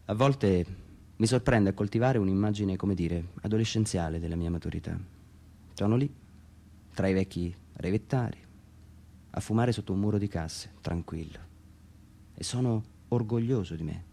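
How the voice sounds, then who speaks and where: Deep creaky guy reading his own radio theatre monologue, inward and halting, pauses a lot. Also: dark tone. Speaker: writer reading own text.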